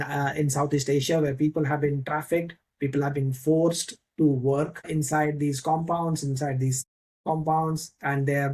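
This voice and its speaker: A man hosting an interview podcast, with a voice that sits a little high for a man. He speaks conversationally and often hesitates, restarting phrases and filling gaps. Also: a little mellow. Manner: hesitant, frequent fillers and restarts. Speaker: podcast host.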